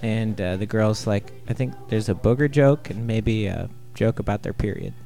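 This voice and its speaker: A man in his thirties with a lowish, gravelly voice answers questions in a laid-back, deadpan way. He hesitates often with drawn-out fillers. Faint music sits behind him. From Ohio, USA. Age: 30s. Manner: laid-back, deadpan humor, halting with many "uh"s. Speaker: guest artist. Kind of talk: casual interview answers.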